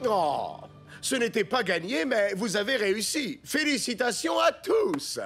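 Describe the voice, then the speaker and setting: A man voicing a gracious, ceremonious official in an animated cartoon. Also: high voice, a little slow, full character voice. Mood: congratulatory. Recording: music behind the voice.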